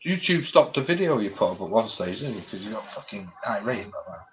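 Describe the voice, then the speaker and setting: A man's voice: fairly low pitch, sing-song, fast, noisy background. Man on a dull lo-fi line. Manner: swearing, laughing, colloquial British slang. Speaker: stream co-host. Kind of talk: casual chat. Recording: dull lo-fi sound.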